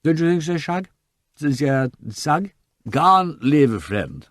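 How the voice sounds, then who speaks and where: A man voicing a drunken, giggling aristocratic gentleman who slurs and rambles in an audiobook reading. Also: mid-range voice, very animated, unhurried, no room sound, clean studio sound. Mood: sentimental drunk. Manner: tipsy, giggling, slurred and rambling. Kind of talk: audiobook character voice.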